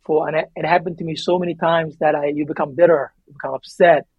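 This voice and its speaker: A man's voice: on the high side, steady tone, fast, breathy edge, no room sound, muffled recording. A man with a Somali accent, a native Somali speaker, talking reflectively as an interview guest, earnest and emphatic, often repeating his phrases. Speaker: interview guest, memoir author.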